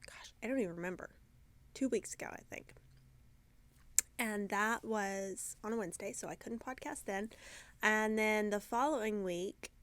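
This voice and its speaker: Croaky, congested woman chatting casually. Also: medium pitch, a bit bright. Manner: chatty and rambling, self-deprecating, loses her train of thought. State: congested, croaky from sinus trouble. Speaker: yarn shop owner and podcast host. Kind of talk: casual video podcast chat. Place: her yarn shop, indoors. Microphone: camera mic, talking alone to camera.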